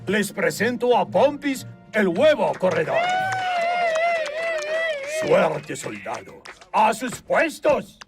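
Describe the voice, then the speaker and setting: An actor voicing a commanding military leader egg in an animated film, barking orders. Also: male voice, high-pitched, slow pace, vocal fry, pauses often, full character voice. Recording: loud music and crowd din behind.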